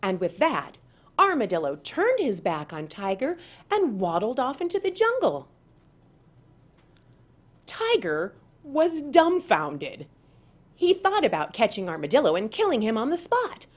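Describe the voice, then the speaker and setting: A woman reading aloud to children as a storyteller, with deliberate, dramatic emphasis. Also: on the high side, wildly swooping pitch. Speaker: parent and school board member reading aloud.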